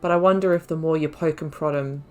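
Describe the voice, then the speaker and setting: A woman's voice: low-pitched, steady tone, fast talker, smooth, faint music behind. A woman hosting a playthrough with casual commentary, reflectively thinking aloud as she goes. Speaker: gaming channel host. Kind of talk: casual let's play commentary.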